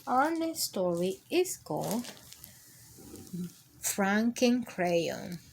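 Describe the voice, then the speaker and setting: A woman's voice: mid-range voice, sing-song, very slow, vocal fry, slight background noise, low-quality recording. A woman hosting a children's storytime, reading aloud and explaining simply like a teacher, checking in with her listeners. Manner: checks in with listeners using tag questions.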